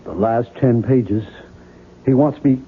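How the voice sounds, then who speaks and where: Muffled old radio sound, a brooding obsessive writer, very husky, mid-pitched, halting, insistent, radio drama. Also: male voice. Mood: terse. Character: tormented, obsessive male novelist, stubborn and brooding. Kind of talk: 1970s radio drama acting. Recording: muffled, narrow-band vintage radio recording.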